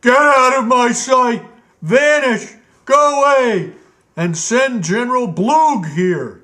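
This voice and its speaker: A man's voice: very high voice, slow pace, bright, forceful tone, pitched above the performer's own voice, heavily acted. A man voicing a furious tyrant gnome king who shrieks in stamping tantrums. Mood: enraged.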